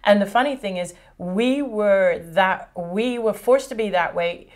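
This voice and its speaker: A woman's voice: mid-range voice, unhurried. A middle-aged woman from Hong Kong hosting a casual chat indoors, talking easily, searching for words now and then and laughing readily. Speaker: host. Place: indoor studio set with a painted brick wall.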